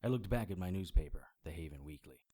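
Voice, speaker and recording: World-weary detective narrator, low voice, animated pitch, sardonic. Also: male voice, fast talker, heavy background noise. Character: wry male private investigator narrator. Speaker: narrator and lead. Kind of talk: hardboiled audio drama narration.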